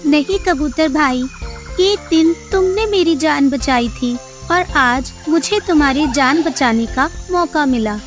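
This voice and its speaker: Cartoon ant: tiny, kind-hearted female, extremely high childlike voice, slow delivery, music underneath. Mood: grateful, warm. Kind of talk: children's cartoon story voice acting.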